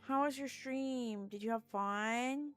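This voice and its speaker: A woman's voice: fairly high pitch, slow pace, smooth. A woman game streamer chatting casually with her viewers. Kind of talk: casual livestream chat. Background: faint music.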